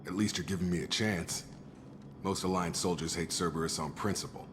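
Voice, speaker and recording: Male actor as world-weary soldier, low voice, dutiful, plain-spoken, video game dialogue, long reverb over heavy noise. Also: fairly quick, natural voice. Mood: guarded, matter-of-fact. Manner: matter-of-fact, world-weary.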